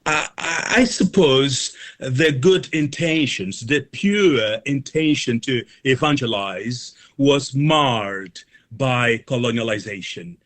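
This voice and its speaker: A man speaking as an interview guest, explaining things in formal, academic wording with halting delivery. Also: medium pitch, expressive, fairly bright tone. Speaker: guest, reverend and mission organisation officer. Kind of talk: considered explanatory interview answers.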